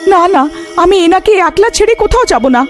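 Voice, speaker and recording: A devoted village wife, put on by the female narrator pleads with resolve in a cartoon tale. Her voice is very high and expressive, with loud music behind it. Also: fast. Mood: tearful defiance. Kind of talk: animated fairy-tale acting.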